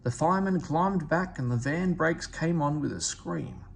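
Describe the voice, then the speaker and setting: A man reading a children's story aloud as expressive scripted narration. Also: on the high side, moderate pace, creaky, a little hiss or hum. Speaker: storybook reader.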